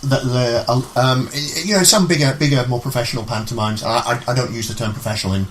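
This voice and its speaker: Male, radio interviewer, conversational, halting with repeated words, mid-range voice, faint background noise. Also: medium pitch, low-quality recording. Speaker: radio show host.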